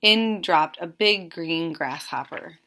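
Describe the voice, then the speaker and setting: A woman reading a children's picture book aloud with expressive storytelling narration. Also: lowish voice, takes it slowly, slight background noise. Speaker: storybook reader.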